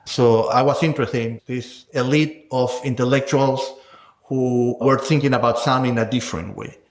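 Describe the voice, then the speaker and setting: A man's voice: mid-range voice, fairly even intonation, normal speed. A man speaking as an interviewed scholar, explaining ideas conversationally in a thoughtful way and checking in with small tag questions. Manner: thoughtful, explanatory, tags phrases with "no?" and "right?". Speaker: sound scholar, interviewed guest. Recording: echoey room.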